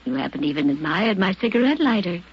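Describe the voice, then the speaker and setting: An actress playing a scheming young woman in a radio drama, with a hushed, theatrically tender delivery. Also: lowish voice, sing-song, fast talker, slightly rough. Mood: coolly mocking. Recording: muffled, hissy old radio drama recording.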